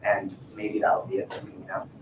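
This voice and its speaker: A man's voice: low voice, completely flat delivery, brisk. A man in his twenties on a discussion panel, who talks in a hesitant way with many fillers and restarts. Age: 20s. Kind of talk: casual panel talk. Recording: echoey room from far off, faint noise.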